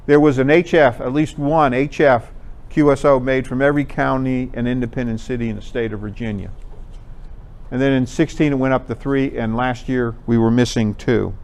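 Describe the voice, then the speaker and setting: Male presenter, clean clear tone, mid-range pitch. Informal, conversational explainer addressing a group. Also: steady tone, moderate pace, a little mellow. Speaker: presenter at an amateur radio club talk.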